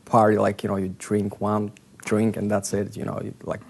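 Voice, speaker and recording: Gravelly Bulgarian-accented man in his thirties. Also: on the low side, monotone, darkish tone. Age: 30s. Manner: halting, searching for words with many fillers. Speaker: talk show guest. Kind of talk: casual interview chat.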